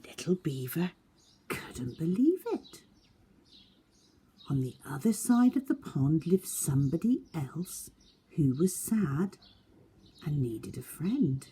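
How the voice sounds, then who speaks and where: A woman's voice: low-pitched, very animated, slow pace, long pauses, mellow, breathy edge. A woman reading aloud as a teacher at children's storytime, speaking expressively to young listeners. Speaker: schoolteacher reading stories to a class.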